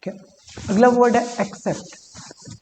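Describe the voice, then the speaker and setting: Woman, vocabulary teacher, mellow voice, slow deliberate English examples, long reverb with faint hum. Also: fairly high pitch, fairly even intonation. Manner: reads English examples slowly and deliberately, explains in Hindi; repeats words and says "ठीक है" often. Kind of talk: Hindi-English vocabulary lesson.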